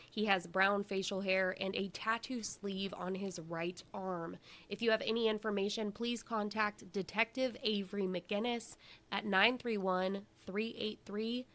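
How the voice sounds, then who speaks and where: A woman's voice: medium pitch, fairly bright tone. A woman co-hosting a live broadcast segment on missing persons, bubbly and playful between earnest readings of case details. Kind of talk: casual live show chat.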